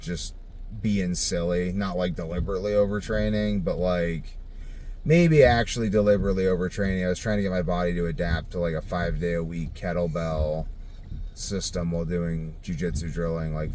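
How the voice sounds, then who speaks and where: A man's voice: low-pitched, darkish tone, slight background noise. A man talking casually off the cuff for a video diary, thinking aloud in a rambling way. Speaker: vlogger.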